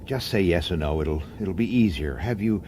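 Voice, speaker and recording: An actor in a radio drama playing an irritable, defensive detective and husband, gruff, blunt and impatient. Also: male voice, low voice, lively intonation, normal speed, darkish tone, a little hiss or hum. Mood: tense, interrogating.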